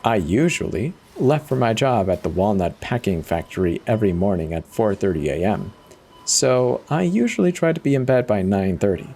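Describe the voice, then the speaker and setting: A man's voice: on the low side, lively intonation, normal speed, gravelly, mellow, husky. A man giving a dramatic first-person reading of a horror story as its narrator.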